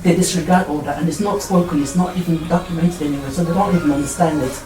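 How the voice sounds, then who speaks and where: A woman's voice: low voice, fairly even intonation, quick pace. A woman earnestly explaining her views in a support group discussion. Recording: echoey room, distant mic, music behind.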